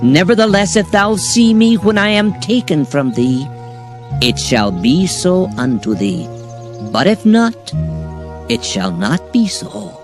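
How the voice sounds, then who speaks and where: A man voicing an aged prophet, speaking slowly and gravely in a solemn reading. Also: high voice, big pitch swings, over music, pitched above the performer's own voice, heavily acted.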